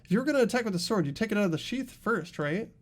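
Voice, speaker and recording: A man's voice: sits high, lively intonation, very fast. A man voicing an earnest teenage boy in a fan-dubbed mystery game, reasoning things out aloud. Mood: questioning, insistent. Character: earnest teenage boy student working out a murder.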